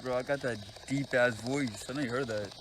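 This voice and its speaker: A man on a ghost hunt, speaking in slang and shouting questions to spirits on a road at night. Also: medium pitch, steady tone, a little fast. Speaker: fellow investigator. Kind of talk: casual chat on a ghost hunt. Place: outdoors on a wooded road at night. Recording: night outdoor noise, voice off-mic.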